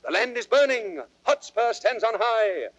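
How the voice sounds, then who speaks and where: An actor playing a bold, teasing young prince in a theatrical, declamatory old radio Shakespeare style. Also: male voice, extremely high pitch, lively intonation, unhurried, a bit bright, dry recording, exaggerated voice. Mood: bold, commanding. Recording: dry, muffled vintage recording.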